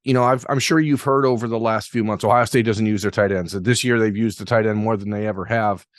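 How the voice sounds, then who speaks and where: A man's voice: lowish voice, steady tone, fast talker, no room sound. Man, podcast host, talkative, self-deprecating, dry close sound. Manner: talkative, self-deprecating, long rambling questions. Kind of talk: interview podcast.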